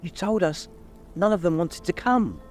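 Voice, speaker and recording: A man voicing an anxious, clever teenage girl who speaks quietly and tentatively, well above his own pitch. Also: moderate pace, soft background music, close to an everyday voice. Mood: worried.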